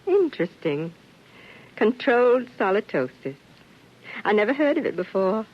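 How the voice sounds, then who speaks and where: A muffled vintage radio recording of a young woman doctor. She is poised and inquisitive, with lively swings of pitch and a clinical, probing manner in fifties radio drama style. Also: moderate pace. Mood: cool, curious. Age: young adult. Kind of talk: 1950s radio drama acting.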